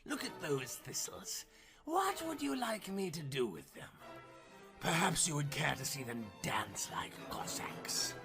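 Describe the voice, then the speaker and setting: A man voicing a playful, grinning devil in a storybook tale, theatrical and drawn-out, with mock-polite courtesy. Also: fairly high pitch, sing-song, gravelly, over music, voice pushed up, full character voice. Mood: showy, teasing.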